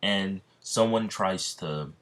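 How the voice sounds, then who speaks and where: A young man with a very low voice tells a made-up story off the cuff. He sounds sleepy and rambles, often restarting his sentences. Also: slow, a bit bright. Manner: rambling, many ums and restarts. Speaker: YouTuber narrating a fan story. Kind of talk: casual improvised storytelling.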